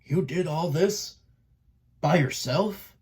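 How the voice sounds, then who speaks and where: A man's voice: on the high side, slow, darkish tone, pitched above the performer's own voice. Man reading a blunt old father duck. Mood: pleading, confused. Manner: pleading, blunt. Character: stern, proud old father duck, wounded.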